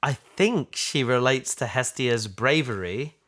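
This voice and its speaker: Male podcast host, mid-pitched voice, conversational, thinks aloud with broken-off starts. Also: expressive, measured pace, fairly bright tone. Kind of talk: casual discussion of an audio drama.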